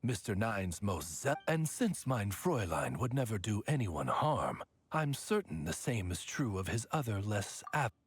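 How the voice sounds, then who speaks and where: A man voicing a courteous talking raven servant in an anime game, raspy, formal, deferential and refined. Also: on the low side, very animated, a little slow, hardly any pauses, no room sound, exaggerated voice. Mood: politely explaining.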